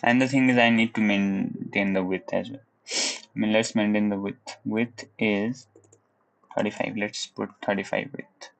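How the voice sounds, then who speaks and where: A young man from Nepal with a Nepali accent, live-streaming his coding practice in casual commentary. He thinks aloud in a relaxed way, hums little la-la tunes as he works, and sometimes drops into Nepali. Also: on the low side, measured pace, creaky, poor audio. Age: young adult. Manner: laid-back, chuckles, switches into Nepali. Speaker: livestreamer learning web coding.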